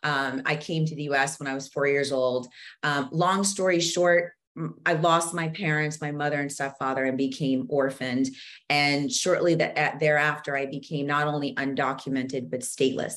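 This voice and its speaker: A woman's voice: low-pitched, level tone, moderate pace, a bit bright. A woman from Zambia, a podcast guest talking with passion and many restarts, verbose and earnest. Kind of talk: conversational interview.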